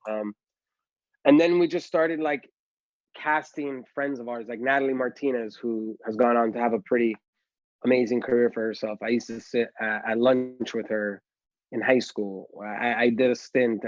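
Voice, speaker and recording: A man's voice: medium pitch, no echo, lo-fi sound. A man, a filmmaker telling his story in a casual podcast interview and leaning on "like" and "you know". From Miami, Florida. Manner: chatty storytelling, heavy use of "like" and "you know". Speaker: guest filmmaker.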